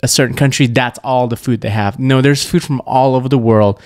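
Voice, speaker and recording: Man, thirties, from Malaysia, podcast co-host, casual and playful, average pitch, dry recording. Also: fairly even intonation, brisk, darkish tone, no echo. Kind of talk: casual chat. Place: small indoor room at a table. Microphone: tabletop podcast microphone on stand.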